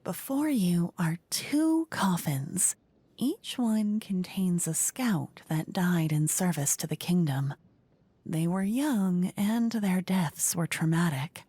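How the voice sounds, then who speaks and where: A woman voicing a flippant, gleeful queen in a soft-spoken fantasy ASMR roleplay, playful and condescending in a theatrical way. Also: fairly low pitch, lively intonation, takes it slowly, breathy edge, dry recording, exaggerated voice. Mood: gracious, amused. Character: gleeful, flippant queen presiding over her fantasy court.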